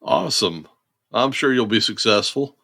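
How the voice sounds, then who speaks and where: A man in his forties with a low voice, hosting a podcast. He reads his guest's intro at an even pace and then chats in a steady way. The sound is dry and close to the mic. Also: moderate pace, dry recording. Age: 40s. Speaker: podcast host.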